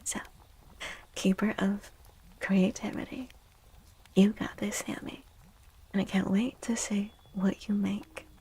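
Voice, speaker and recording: Female ASMR streamer: slow, gentle, breathy half-whisper with vocal fry, soothing and affectionate. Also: medium pitch, level tone, rough recording. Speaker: livestream host doing tarot readings. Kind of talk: soft-spoken ASMR livestream chat.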